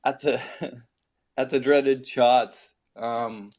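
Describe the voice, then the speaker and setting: A man's voice: mid-range voice, fairly even intonation, drawn-out words, highs cut off, background noise. Man, laid-back, laughs often, casual chat, video call. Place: at home computer, early morning.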